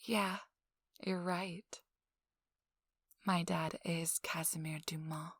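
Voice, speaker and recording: Woman playing a slow, sultry, teasing socialite. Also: lowish voice, steady tone, slightly rough, no room sound, exaggerated voice. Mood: smug, proud.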